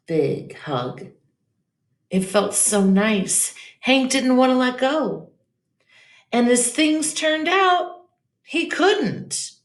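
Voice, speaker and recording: A woman reading a children's picture book aloud as an expressive storyteller. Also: medium pitch. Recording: echoey room.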